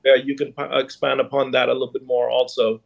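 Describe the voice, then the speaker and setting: A Bahamian man answering conversationally as an interview guest, in a halting, hesitant way. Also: medium pitch, flat delivery, creaky, muffled recording. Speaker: guest, leader of a Junkanoo group.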